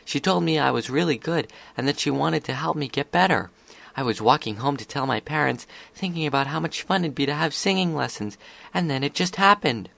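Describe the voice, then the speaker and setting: Cheerful girl unicorn for a storybook, lightly raised pitch male reader acting. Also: brisk, gravelly, fairly bright tone, exaggerated voice. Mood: happy. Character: cheerful young female unicorn filly.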